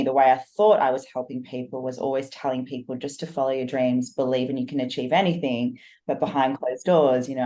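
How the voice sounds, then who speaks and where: A woman with a remarkably deep voice talks through her past in long, flowing stories. She is earnest and reflective, a podcast guest who coaches business owners. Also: dark tone. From Australia. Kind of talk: personal storytelling in a podcast interview.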